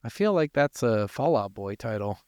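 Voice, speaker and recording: A man's voice: lowish voice, expressive, moderate pace, darkish tone, smooth, no echo, studio quality. A man co-hosting a gaming podcast, talking casually and bluntly, with swearing. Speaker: podcast co-host.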